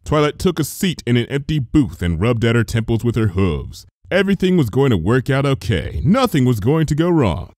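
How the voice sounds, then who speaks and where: A man gives a dramatic scripted reading of a story. His mid-range voice is highly animated and expressive, in a dry recording with little room sound. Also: moderate pace, few pauses, no echo. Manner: theatrical, expressive. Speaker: fan fiction reader.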